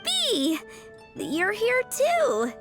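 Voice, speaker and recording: High-pitched, cheerful flower girl. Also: heavily acted. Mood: polite. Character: cheerful, polite young girl who runs a flower shop. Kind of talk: video game voice acting. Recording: music playing behind the voice.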